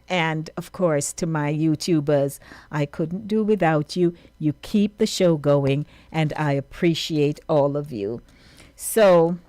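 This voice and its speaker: A woman's voice: low-pitched, darkish tone, dry recording. A woman with a Jamaican accent hosting a radio show, chatting casually and slipping into patois as she signs off. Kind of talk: casual on-air sign-off.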